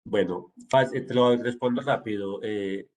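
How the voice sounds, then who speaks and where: A man's voice: fairly low pitch, a little slow, darkish tone. A man in his forties with a Medellín Colombian Spanish accent, fluently and confidently explaining himself as a city councilman in an interview. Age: 40s. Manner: fluent, self-assured, explanatory. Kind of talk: interview answers.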